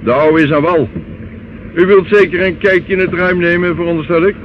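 An actor in a Dutch radio play, playing a cool river barge mate with an offhand, sardonic way of talking. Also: male voice, sits high, steady tone, normal speed, close to an everyday voice. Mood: offhand, wry. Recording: dull, noisy old radio recording.

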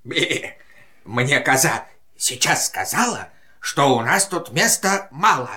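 A man's voice: medium pitch, expressive, slow, bright, forceful tone. A man playing a blustering but henpecked bearded old billy goat in a children's audio play. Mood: meek, bleating.

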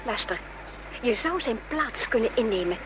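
Schrandere medeplichtige, hoge vrouwenstem. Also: female voice, on the high side, animated, normal speed, fairly bright tone. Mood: urgent, persuasive. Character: shrewd, earnest female companion plotting on the run. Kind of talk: radio drama acting. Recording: noisy old radio play recording.

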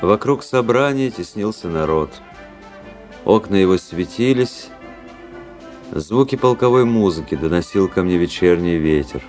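An actor playing a cool, melancholy, cynical young gentleman officer in a Russian radio play, speaking with detached irony and introspection. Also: sits low, expressive, measured pace, soft background music. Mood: brooding, melancholy. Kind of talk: Russian radio play acting, literary narration. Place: studio radio drama, music behind.

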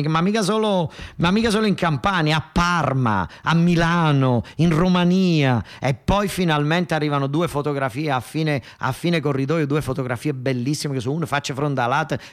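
A man with a southern Italian accent performing a radio theatre monologue as a folksy storyteller, emphatic and repetitive in a colloquial way. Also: on the high side. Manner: repetitive, emphatic, rambling folk-storyteller delivery.

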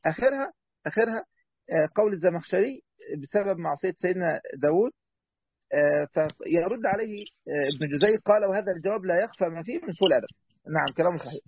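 Brisk Egyptian man teaching over a tinny line. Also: high-pitched, breathy edge, dry recording. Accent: Egyptian Arabic. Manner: brisk, corrects the reader's pronunciation. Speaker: teacher explaining a classical text. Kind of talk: online lesson commentary. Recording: tinny phone-like call audio.

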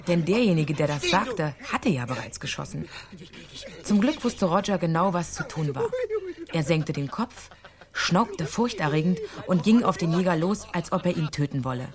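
Lowish-voiced wry storyteller. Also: female voice, big pitch swings, normal speed, crowd noise. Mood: wry, suspenseful. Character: female storyteller, wry, vivid. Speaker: narrator. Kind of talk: scripted storybook narration for radio play.

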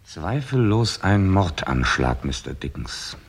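An actor playing a composed, skeptical police chief inspector in a 1960s German radio crime drama, measured and probing. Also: male voice, deep voice, very animated, vocal fry, slightly rough, exaggerated voice. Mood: businesslike. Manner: measured, questioning, authoritative.

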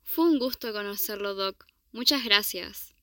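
A woman's voice: medium pitch, measured pace, pushed and bright, dry recording, clean studio sound. A young woman with an Argentine accent playing a caring, chatty university friend in a student radio drama. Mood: polite.

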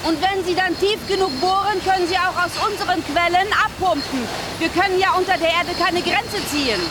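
Female radio play role: insistent, suspicious businesswoman from Iraq, very high voice, clear machinery noise behind. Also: brisk. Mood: accusing. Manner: insistent, accusing. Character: combative, suspicious Iraqi-born wife of bottler. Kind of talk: naturalistic radio drama acting.

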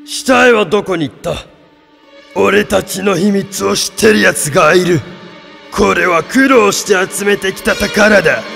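A gravelly, high-pitched bandit chief, as a man plays him in a storybook tale, ruthless and cunning, barking orders. His voice rings through a long, cavernous reverb with music playing behind. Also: unhurried, fairly bright tone, full character voice. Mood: angry. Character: ruthless male bandit leader, commanding and cunning. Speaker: thieves' leader. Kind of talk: storybook voice acting.

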